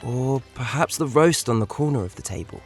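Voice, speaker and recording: A man's voice: medium pitch, lively intonation, a little fast, soft background music, close to an everyday voice. A man playing a responsible, scheming thirteen-year-old leader of street urchins in an audio drama, firm and reasoning.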